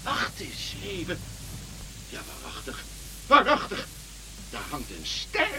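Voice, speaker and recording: A grumbling old king in a radio play, freshly roused from bed. The voice is high and bright, with slow, theatrical exclamations and bewildered muttering to himself. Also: wildly swooping pitch, a little hiss or hum, heavily acted, low-quality recording. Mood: bewildered wonder.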